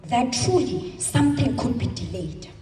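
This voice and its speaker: A South African woman in her thirties giving an emphatic motivational speech at a convention, stretching her words into slow dramatic pauses. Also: on the high side, big pitch swings, dark tone, faint music behind. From South Africa, Eastern Cape. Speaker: convention speaker. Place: large hall. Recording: echoey hall, speaker far from mic.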